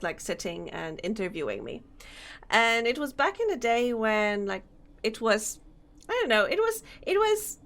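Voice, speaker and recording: A young woman streaming a game, chatting casually, reading on-screen text aloud and laughing often, her voice slightly hoarse. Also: fairly high pitch, a bit bright. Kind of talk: casual let's-play commentary.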